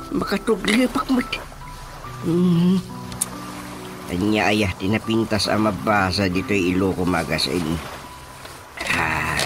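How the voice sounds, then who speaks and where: An actor playing a playful older village father in a Filipino radio comedy drama, with a Filipino accent and lots of exclamations and hums. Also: on the low side. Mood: contented, musing. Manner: exclamatory, full of drawn-out interjections and hums. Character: older village father, playful, easily amused, fussing over his coffee. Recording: radio drama with music behind.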